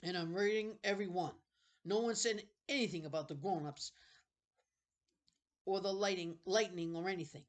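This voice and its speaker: A woman's voice: fairly low pitch, normal speed, long pauses, pitched above the performer's own voice, lightly acted. A woman voicing a bossy boy ringleader in a storybook, giving brash, breezy orders with false reassurance.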